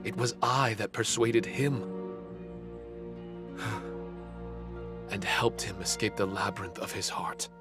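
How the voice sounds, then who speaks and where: A man playing a melancholy, loyal spirit guardian in a video game, speaking in a solemn, formal, measured way over background ambience. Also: on the low side, fairly bright tone. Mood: solemn, wistful. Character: melancholy loyal created spirit guardian, devoted to his lost maker. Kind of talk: scripted game dialogue.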